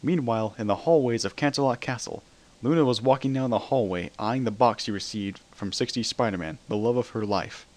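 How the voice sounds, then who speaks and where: A man's voice: mid-range voice, a little fast, a little mellow. A man narrating a dramatic reading of comic fan fiction.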